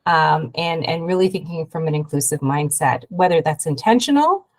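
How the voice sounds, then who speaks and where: A woman from Canada, hoarse from a cold, giving a halting webinar talk over a video call with many fillers and restarts. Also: deep voice, expressive. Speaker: guest speaker, investment program head. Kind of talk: webinar presentation.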